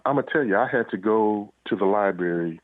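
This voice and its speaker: A man's voice: lowish voice, a little fast, no echo. A middle-aged man, an author being interviewed, telling his story in a casual conversational way. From United States. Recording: dull, lo-fi radio-like line.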